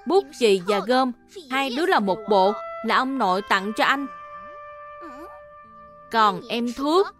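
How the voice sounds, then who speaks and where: A woman playing an earnest, excitable young schoolboy in a dubbed children's cartoon. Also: a little high, takes it slowly, pauses often. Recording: music behind the voice.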